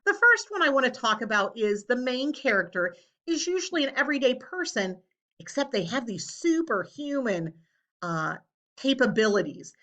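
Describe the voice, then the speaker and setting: A woman speaking as an elementary teacher, explaining a lesson to children in a deliberate way and stressing key words. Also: a little high, sing-song, pushed and bright.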